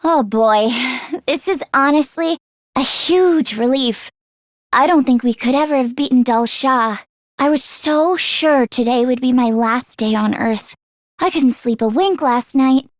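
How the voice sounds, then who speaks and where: A woman voicing an earnest, excitable teenage girl fighter in a video game, caring and anxious, with expressive, emotive delivery. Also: sits high, unhurried, dry recording, studio quality. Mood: relieved. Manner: expressive and emotive, with sighs and gasps. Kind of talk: English video game voice acting.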